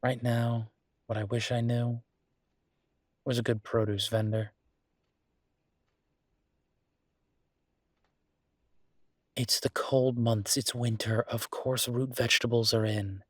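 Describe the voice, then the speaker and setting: Casting: male actor as affectionate, laid-back restaurant owner for boyfriend roleplay audio; mid-pitched, casual, chatty delivery. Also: level tone, unhurried, no echo, clean studio sound, natural voice. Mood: mildly exasperated. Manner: casual, chatty, thinking aloud. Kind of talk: boyfriend roleplay audio drama, one-sided conversation.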